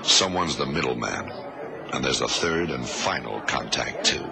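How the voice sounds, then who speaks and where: An actor playing a terse, commanding male investigator in a radio drama, giving clipped orders and deliberate explanations. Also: extremely low pitch, lively intonation, vocal fry, exaggerated voice. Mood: intent. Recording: noisy old radio drama recording.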